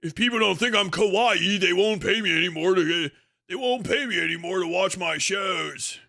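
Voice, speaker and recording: A man's voice: high-pitched, normal speed, gravelly, bright, forceful tone, heavily acted. A man voicing a whiny, indignant internet streamer who pleads melodramatically in a mocking livestream skit. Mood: whiny pleading.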